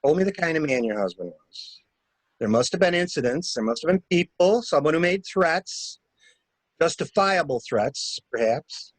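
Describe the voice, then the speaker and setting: A man's voice: a little high, animated, normal speed, no echo, low-quality recording. A man in a dramatic mystery reading, playing a shrewd stranger who questions a widow with wry, insistent probing. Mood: urgent, pressing. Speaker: library staff reader.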